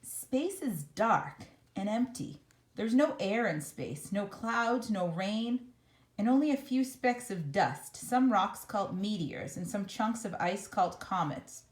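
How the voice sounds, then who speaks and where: A woman reading a children's picture book aloud in a measured, expressive storytelling manner. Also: mid-range voice. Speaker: storybook reader.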